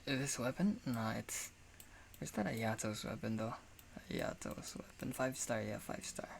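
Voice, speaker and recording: A woman's voice: very deep voice, lively intonation, measured pace, creaky, a little hiss or hum. A woman giving casual, slangy, offhand commentary while playing a video game and reading its dialogue. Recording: game music behind the voice.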